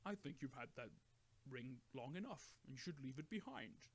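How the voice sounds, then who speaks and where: A man voicing an old male wizard who speaks in a slow, solemn, stern way. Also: mid-range voice, animated, husky.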